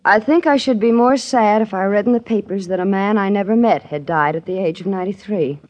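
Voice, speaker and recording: A woman's voice: mid-range voice, brisk, highs cut off, close to an everyday voice. An actress playing a cool, bitter divorced mother in a 1950s radio drama, with a genteel, cutting manner.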